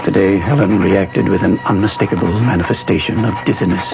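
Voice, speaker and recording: An actor playing an obsessive, secretive scientist husband in a 1970s radio drama, speaking in a deliberate, controlled, persuasive way. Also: male voice, deep voice, steady tone, gravelly. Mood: secretive, brooding. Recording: muffled 1970s radio broadcast, music behind.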